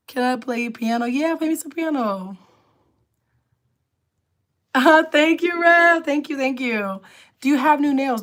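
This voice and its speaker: Woman, livestream host, raspy alto, a little high, loose casual chat with viewers. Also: a bit bright. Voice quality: lower alto voice. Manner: chatty, reads and answers comments aloud. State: raspy voice from illness.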